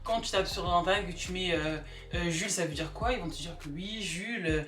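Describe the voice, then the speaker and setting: A woman chatting casually and comically to camera, emphatic and animated, repeating words for effect. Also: on the low side, a little fast. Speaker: vlogger. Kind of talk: casual comedic opinion chat to camera. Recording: echoey room, distant mic, background music.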